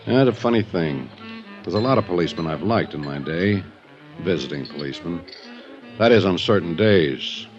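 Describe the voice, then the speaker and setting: An actor playing a weary, wry ex-bootlegger in his fifties in a radio drama, musing slowly with pauses. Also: sits low, lively intonation. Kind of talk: 1950s radio drama acting. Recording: muffled 1950s radio recording with music.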